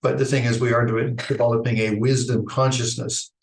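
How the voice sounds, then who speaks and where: A man's voice: medium pitch, level tone, vocal fry. Reflective man in an echoey room. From Idaho, United States. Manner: thoughtful, halting, with frequent restarts and fillers. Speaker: Buddhist teacher. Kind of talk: unscripted dharma talk.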